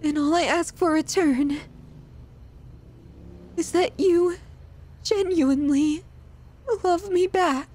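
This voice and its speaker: A woman playing an obsessive, possessive yandere lover in a dramatic ASMR roleplay, pleading intimately and haltingly with the listener. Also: very high voice, a little mellow. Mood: tearful pleading.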